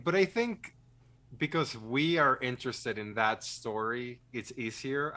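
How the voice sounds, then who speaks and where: A man's voice: on the low side, sharp tone. A man hosting a film podcast in casual conversation, joking and self-deprecating as he rambles through his thoughts. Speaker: podcast host.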